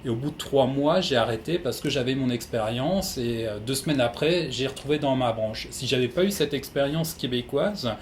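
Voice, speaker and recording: A man's voice: mid-range voice, normal speed, few pauses, slight background noise. A man working in human resources, giving methodical, practical advice to newcomers in an interview.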